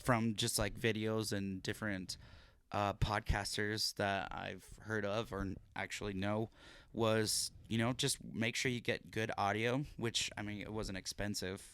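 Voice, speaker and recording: A young man with a low, smooth, clear voice, hosting a casual podcast chat. He talks earnestly, rambling a little with restarts and fillers. The sound is close and dry, straight into a podcast mic. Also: steady tone, unhurried, fairly bright tone, no room sound. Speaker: podcast host.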